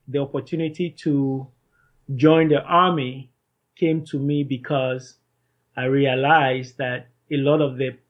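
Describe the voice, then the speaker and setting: A man in his thirties from Cameroon, a startup founder, answering interview questions in a measured, earnest way. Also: mid-range voice, dull audio. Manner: measured, earnest, some restarts.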